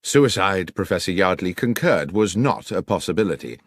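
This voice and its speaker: Deep, expressive male audiobook narrator. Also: normal speed, no room sound, clean studio sound. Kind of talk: dramatic scripted first-person story narration.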